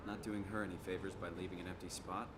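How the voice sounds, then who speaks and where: A sardonic young man in a video game, delivering dry, clipped remarks. His voice sits fairly low. A clear layer of ambient noise runs under the game audio. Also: little pitch movement, fast talker, fairly bright tone. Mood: curt. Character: young adult man facing a brain scan, wry. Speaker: game protagonist.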